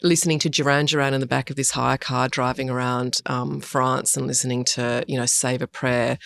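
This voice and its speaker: Chatty Australian woman, smooth dry voice. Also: a bass voice, little pitch movement, a little fast, a bit bright, dry recording. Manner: chatty, thoughtful, with frequent ums and self-corrections. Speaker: guest. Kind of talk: casual podcast interview.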